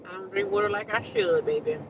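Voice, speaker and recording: A woman's voice: mid-range voice, wildly swooping pitch, brisk, creaky, far from the mic, lo-fi sound, background noise. A woman chatting casually to her viewers from inside a car, talking with a congested nose. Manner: chatty, talks straight to viewers. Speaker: vlogger. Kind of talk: casual rambling chat to viewers.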